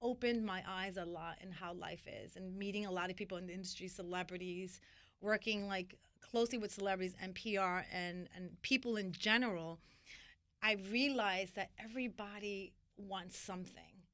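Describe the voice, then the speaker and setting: A middle-aged woman from New York, a magazine founder telling her story as an interview guest, animated and full of fillers and restarts. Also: mid-range voice, moderate pace, dry recording. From New York (Washington Heights).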